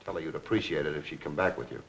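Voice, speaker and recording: A man's voice: extremely low pitch, rapid-fire, creaky. A man playing a curt, businesslike police lieutenant in a 1940s crime film, with a clipped, authoritative manner. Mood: brisk, commanding. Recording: noisy old 1940s film soundtrack.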